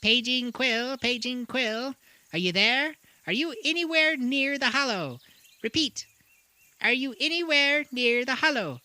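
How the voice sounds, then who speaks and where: A performer voicing an excitable, bumbling halfling who huffs, exclaims and giggles in an audio drama. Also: high-pitched, measured pace, no echo, heavily acted. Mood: excited. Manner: exclaiming, huffing, giggling, swooping. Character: excitable, bumbling halfling storyteller companion named Solomon. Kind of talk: audio drama acting, muttering to self.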